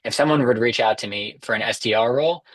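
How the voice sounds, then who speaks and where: Male, young adult, quick pace, mid-range, organized explainer, informal video-call delivery. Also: mid-range voice, a bit bright. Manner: structured, explanatory. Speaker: webinar guest, recruitment technology expert. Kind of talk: informal explanatory webinar talk. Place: indoors against a dark backdrop, on a video call. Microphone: wireless earbuds on a video call.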